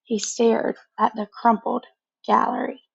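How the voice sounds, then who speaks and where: Slow-paced, higher-voiced woman reading to children. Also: creaky, husky, muffled recording. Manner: expressive, conversational, pauses to comment. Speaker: picture book reader. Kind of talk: children's read-aloud with casual asides.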